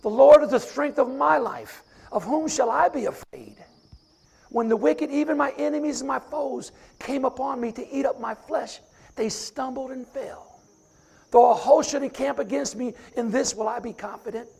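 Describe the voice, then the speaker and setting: Middle-aged deacon, raspy and husky, preaching a sermon. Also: male voice, extremely high pitch, normal speed, a little mellow. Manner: recites scripture from memory with rising emphasis.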